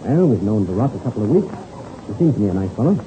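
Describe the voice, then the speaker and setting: An actor playing a confident, friendly senior pilot in a 1930s radio adventure serial. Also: male voice, lowish voice, sing-song, very fast, dark tone, slight background noise. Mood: easy, assured. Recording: tinny, band-limited old radio recording.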